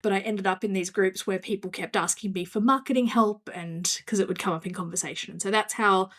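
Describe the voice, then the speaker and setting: An Australian woman speaking as a podcast guest in a mid-pitched voice. She tells her story in a chatty, self-deprecating way, with plenty of fillers. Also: fairly quick, fairly bright tone. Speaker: guest, marketing coach. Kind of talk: podcast interview.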